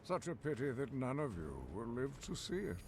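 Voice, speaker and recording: An actor playing a haughty, commanding older supervillain in a video game, pompous and measured, with music behind. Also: male voice, medium pitch, big pitch swings, noisy background. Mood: grandiose menace. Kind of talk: video game cutscene acting.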